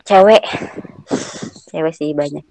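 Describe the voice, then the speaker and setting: A woman from West Java, chatting as a guest fan in an earnest, unhurried way. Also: low-pitched, creaky, a little mellow, no echo, low-quality recording. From Indonesia (Subang, West Java). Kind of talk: casual chat.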